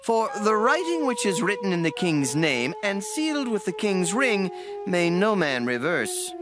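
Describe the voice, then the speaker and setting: A woman voicing a stern male king in a dramatized scripture reading, giving slow, weighty commands. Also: medium pitch, very animated, pitched below the performer's own voice. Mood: commanding. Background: music.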